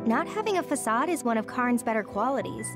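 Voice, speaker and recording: A high, girlish-pitched female soldier from a video game. She is frank and caring, quick to apologize. Clear music runs behind her. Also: very animated. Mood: frank, teasing.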